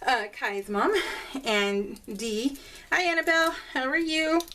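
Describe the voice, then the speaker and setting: A woman's voice: sits high, expressive, a little slow, sharp tone, slight background noise. Bubbly, chatty woman vlogger. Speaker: crafting vlogger. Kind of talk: casual rambling chat to viewers.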